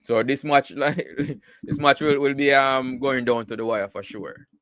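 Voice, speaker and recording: A man's voice: medium pitch, moderate pace, fairly bright tone, smooth, dry recording. A man giving casual live cricket commentary, full of repeated words and "you know" fillers.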